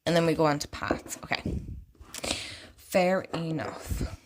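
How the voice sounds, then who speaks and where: Woman vlogger, lowish voice, congested and croaky, loose rambling delivery. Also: steady tone, slow pace. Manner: chatty and rambling, lots of "like". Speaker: oracle card reader and vlogger. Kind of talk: casual deck walkthrough.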